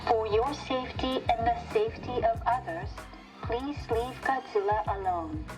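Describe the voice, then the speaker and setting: A woman reading a formal public safety announcement over a loudspeaker system in a measured announcer tone. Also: a little high, music in the background, low-quality recording.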